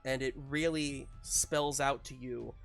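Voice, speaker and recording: Guy running a tabletop game, creaky voice, narrates and explains rules casually, bit of music behind. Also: medium pitch, steady tone, takes it slowly, fairly bright tone. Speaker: game master of a tabletop superhero roleplaying game.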